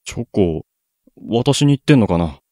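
Young man acting a grumpy lovestruck schoolboy, very animated. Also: lowish voice, normal speed, no room sound, studio quality. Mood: embarrassed, gruff. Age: young adult. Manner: blunt, curt, sulky. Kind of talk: Japanese student radio drama acting.

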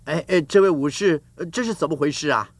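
An actor playing a humble, naive young traveller in a folk-tale cartoon, earnest, grateful and exclamatory. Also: male voice, on the high side, a little fast, no room sound. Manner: earnest, wide-eyed, exclamatory.

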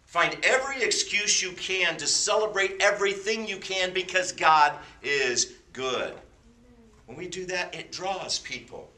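Bright-voiced man preaching from a distance. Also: high-pitched, lively intonation, a little slow. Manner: jokey, self-deprecating asides. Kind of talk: informal sermon with personal stories. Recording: roomy sound from away from the mic.

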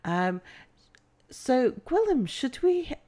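A woman hosts a livestream chat, her voice slightly croaky from a cold. She speaks slowly and with a lively, rising and falling pitch, and she keeps encouraging her guest. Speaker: host.

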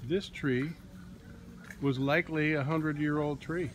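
A man behind the camera chats and teases as he tours a town, pointing out old trees and homes in a slightly high voice. The handheld recording picks up loud outdoor noise around him. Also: fairly even intonation, unhurried. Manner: teasing, chatty, explains facts to his companion. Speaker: vlogger filming behind the camera. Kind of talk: casual travel vlog chat. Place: outdoors in a busy market and park.

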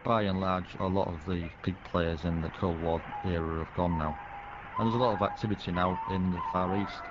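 A man's voice: sits low, fairly even intonation, a little fast, very noisy. A man from England with an English accent, talking casually as an interviewed radio hobbyist, in muffled audio. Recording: muffled audio under loud music.

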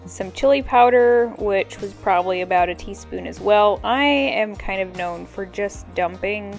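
Casting: American woman, thirties, slightly low voice, informal homemaking vlog voice-over with music behind. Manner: chatty, informal. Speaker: homemaking vlogger. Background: background music.

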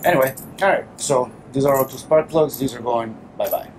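A man's voice: mid-range voice, brisk. A man, probably in his thirties, hosting a casual how-to vlog while he works in a small garage, speaking informally. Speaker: motorcycle vlogger, host. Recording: clearly noisy workshop ambience.

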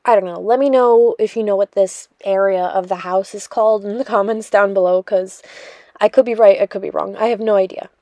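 A woman's voice: medium pitch, fairly even intonation, a little fast, mellow, no echo. A young woman narrating her cleaning vlog in a chatty, rambling voice-over full of fillers. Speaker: cleaning vlogger.

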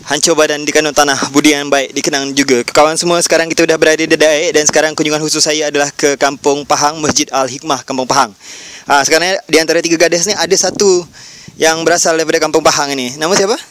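A young man hosting a travel vlog outdoors, chatty and brisk, asking quick questions into a handheld mic. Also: fairly high pitch, steady tone, fairly bright tone. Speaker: travel vlogger. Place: outdoors by a village road. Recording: light outdoor background noise.

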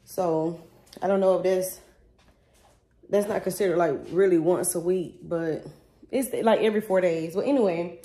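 A woman's voice: on the low side, steady tone, normal speed, pauses often, mellow. Chatty young mom vlogging in her kitchen. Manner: chatty, confiding, talks to viewers as y'all. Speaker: vlogger, mother of five. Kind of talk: casual vlog chat. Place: home kitchen and pantry, indoors. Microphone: phone camera, handheld or propped up.

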